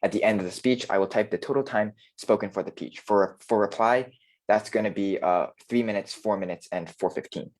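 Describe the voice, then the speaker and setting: A man's voice: on the low side, a little fast, a little mellow. A man acting as moderator of an online debate, giving orderly procedural announcements with frequent fillers, over a video call.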